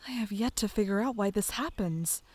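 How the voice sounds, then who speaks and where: A woman playing a whimsical, sweet but stubborn young druid princess in a fantasy audio drama, gentle and airy. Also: mid-range voice, moderate pace, no room sound, close to an everyday voice. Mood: earnest, gentle.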